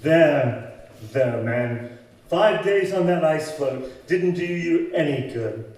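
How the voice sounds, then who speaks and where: A man's voice: a little high, animated, slow, darkish tone. A man playing a kindly, reassuring ship's captain in an old-time radio drama, with a stagy delivery. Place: live on stage in a community hall. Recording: echoey hall, distant mic, faint noise.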